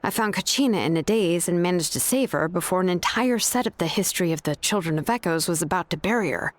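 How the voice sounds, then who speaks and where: A woman voicing a calm, bookish craftswoman in a video game, explaining things in a measured, scripted way. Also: on the low side, dry recording, close to an everyday voice. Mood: wry storytelling. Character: calm, bookish adult craftswoman who forges ancient names.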